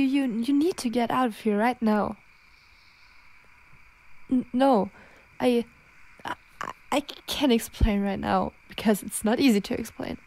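A frightened young werewolf girlfriend, acted by a woman in an ASMR audio drama. Her voice is fairly high and she speaks very slowly, stammering and pleading as she tries to hold back her change. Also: creaky, darkish tone, low-quality recording. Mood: startled, pleading.

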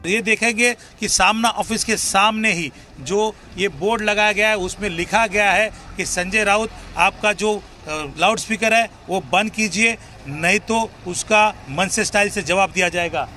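A man's voice: sits high, measured pace, bright, forceful tone, faint music behind. A man reporting unscripted from a city street into a handheld microphone. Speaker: field news reporter.

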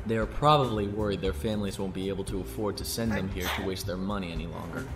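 A man's voice: deep voice, quick pace. Man as a stern officer in echoing reverb. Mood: dismissive. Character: stern, cynical male imperial officer. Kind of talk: sci-fi audio drama acting. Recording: echoey long reverb, music behind.

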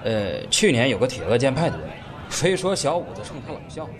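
A man playing a hearty, protective beggar-clan brother in a Chinese wuxia audio drama, gruffly jovial. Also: mid-range voice, very animated, brisk, a faint crowd, natural voice. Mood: joking.

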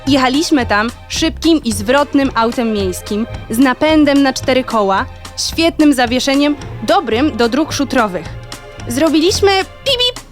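A woman voicing a knowing, boastful little toy car in a children's audio play, eagerly explaining and beeping. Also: high voice. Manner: eager, explaining, punctuated with beeps. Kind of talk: children's audio drama acting.

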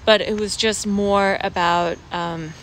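An American woman in her forties talking casually and reflectively to camera, halting and thoughtful, with pauses. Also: medium pitch, fairly even intonation, fairly bright tone. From United States expatriate raised around the Pacific Basin. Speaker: vlogger. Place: outdoors in a leafy garden, seated. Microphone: phone at arm's length. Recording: clear outdoor background noise, cricket-like ambience.

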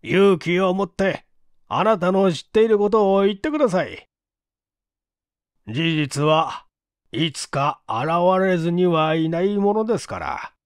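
Cool, probing police chief for mystery fiction, acted by a male reader, formal, deliberate, dry sound. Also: high-pitched, animated, no echo. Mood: solemn, pressing.

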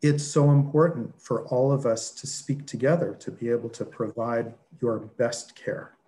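An older man giving a conversational lecture from his home office over a video call, relaxed and unhurried with occasional fillers. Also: medium pitch. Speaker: dental school lecturer presenting. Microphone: video call webcam.

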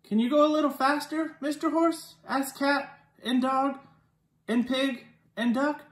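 Storytime reader for young children: unhurried, expressive with dialogue, recorded in an echoey living room. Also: high-pitched. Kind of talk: children's picture book read-aloud. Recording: roomy indoor echo.

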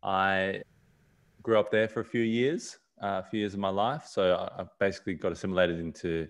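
A man speaks from a small room at home over a video call webcam. His voice sits low. He rambles gently, repeating words and restarting sentences as he talks. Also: steady tone, a little fast. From South Africa, raised in Australia. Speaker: podcast guest. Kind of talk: casual conversation on a video call.

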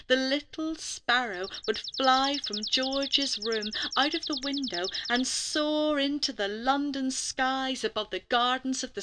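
A woman storyteller narrating a children's bedtime story in a dramatic, deliberate way, with pauses and breathy emphasis. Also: high voice, background noise. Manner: addresses young listeners with questions. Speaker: storyteller for a gallery's bedtime stories.

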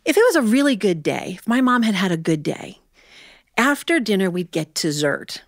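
A woman's voice: mid-range voice, sing-song, moderate pace, dry recording, clean studio sound. A middle-aged American woman, a storyteller telling a personal tale live to an audience. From Ohio, United States.

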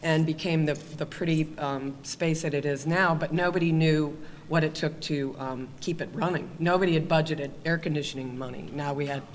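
An older woman on a panel tells a story at a halting pace, full of ums. Her voice carries a lingering reverb from the meeting room, and there is faint background noise. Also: sits low, fairly even intonation. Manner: halting, with many fillers and laughs. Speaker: panelist, arts administrator. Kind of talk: casual anecdote on a panel. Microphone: table microphone.

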